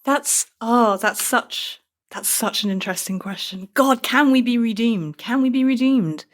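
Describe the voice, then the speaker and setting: A woman's voice: a little high, a little slow. Thoughtful British woman in conversation. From Britain. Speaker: author being interviewed. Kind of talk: conversational interview about a book.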